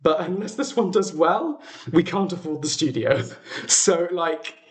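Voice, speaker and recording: A man's voice: high voice, animated, a little slow. A man with a runny nose hosting a casual livestream, talking in a chatty, self-deprecating way. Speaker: livestream host and audio drama maker.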